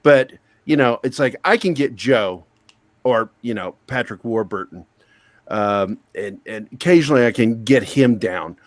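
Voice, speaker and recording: Deep-voiced man, casual and profane. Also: unhurried, no echo. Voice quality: deep, low voice. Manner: relaxed storyteller, swears freely. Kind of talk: casual chat.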